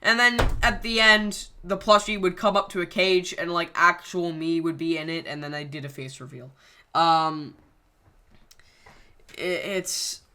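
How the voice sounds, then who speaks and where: A channel creator casually explaining the plan for a scrapped series finale, rambling with frequent pauses and restarts. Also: medium pitch, fairly even intonation, bright, forceful tone, smooth. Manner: hesitant, frequent ums and restarts.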